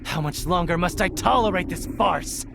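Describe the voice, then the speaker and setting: A man's voice: sits high, creaky, noisy background. A man voicing a sinister, gleeful shadow spirit in a video game, taunting theatrically over music. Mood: impatient taunting. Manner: theatrical, taunting, drawn-out delivery. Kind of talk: video game cutscene acting. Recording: music under the voice.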